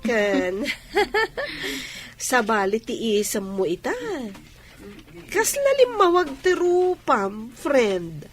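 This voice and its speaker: A woman acting in a radio drama as a chatty female friend, animated and teasing. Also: on the high side, drawn-out words, long pauses, soft background music, heavily acted. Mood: teasing, delighted.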